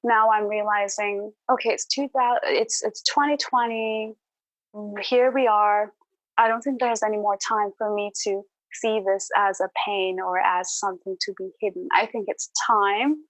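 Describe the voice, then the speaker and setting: A woman's voice: mid-range voice, fairly even intonation, unhurried, dry recording, rough recording. A woman speaking as a podcast guest in a reflective, conversational way, earnest and encouraging.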